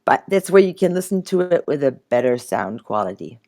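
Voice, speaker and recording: A woman's voice: rumbling low, big pitch swings, brisk, mellow. A woman co-hosting a radio show, chatting casually and off the cuff. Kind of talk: casual chat.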